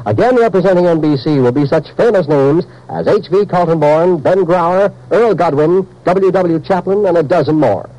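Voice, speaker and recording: A man's voice: a little high, moderate pace, hardly any pauses, warm tone, low-quality recording. A man with an American accent reading a formal, emphatic 1950s radio network announcement.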